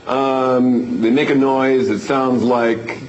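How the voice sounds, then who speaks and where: A man giving halting clues in a radio game, full of fillers. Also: medium pitch, steady tone, a little mellow, lo-fi sound. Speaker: game contestant.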